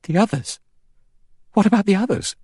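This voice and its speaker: A man voicing an anxious, highly strung young woman in an audiobook, urgent and faltering, close to panic. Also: lively intonation, normal speed, warm tone, breathy edge, no room sound. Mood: shocked, urgent.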